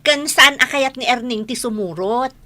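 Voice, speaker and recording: A woman's voice: a little high, normal speed, bright, forceful tone. A woman with an Ilocano accent playing a gossipy, indignant office worker in a radio comedy drama. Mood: eager.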